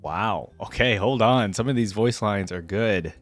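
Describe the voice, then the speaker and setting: Low-voiced man giving casual reactions. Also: unhurried. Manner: informal, appreciative, musing. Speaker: reaction video host. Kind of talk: casual live reaction commentary.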